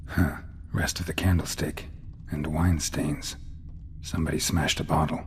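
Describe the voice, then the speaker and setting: Super deep gravelly monster hunter from a fantasy game, deadpan, clipped, bit of background noise. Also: male voice, a little slow. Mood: pensive, muttering. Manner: clipped, deadpan, muttering observations. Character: terse, world-weary male monster hunter investigating clues. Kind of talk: video game voice acting.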